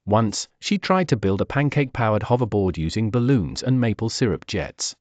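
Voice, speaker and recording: A man reading a scripted children's story aloud in an animated, expressive storytelling manner. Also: on the low side, moderate pace, no room sound.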